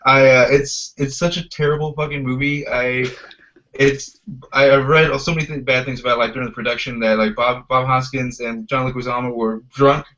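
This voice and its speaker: A man in his thirties with a mid-pitched voice hosts a casual podcast chat. He rambles along conversationally and swears freely. The audio is muffled and lo-fi. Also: fairly even intonation, a little fast, bright, forceful tone. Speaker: podcast host. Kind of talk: casual chat about video game movies.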